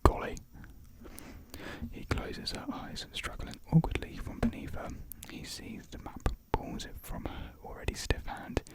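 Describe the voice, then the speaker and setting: A reader whispering the stage directions of a comic thriller script, laughing at their own slips. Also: low-quality recording. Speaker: narrator reading a stage script. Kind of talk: ASMR whispered script reading.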